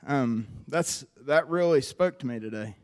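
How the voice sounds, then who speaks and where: A man in his twenties with a West Virginia accent, giving an earnest, conversational personal testimony to a church audience with frequent pauses. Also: mid-range voice, lively intonation, a little mellow. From West Virginia, USA. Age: 20s. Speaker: recovery program member giving testimony.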